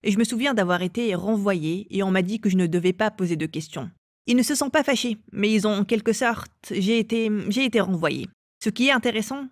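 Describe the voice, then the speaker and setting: A woman's voice: mid-range voice, no room sound, clean studio sound. A woman from England telling her own life story as an interview guest. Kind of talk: personal testimony in an interview.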